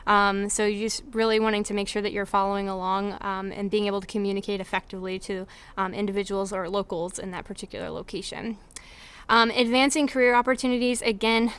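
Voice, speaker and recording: Young woman study abroad advisor, smooth clear voice, lots of ums. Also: mid-range voice, fairly bright tone. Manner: earnest, many fillers. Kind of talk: informational presentation.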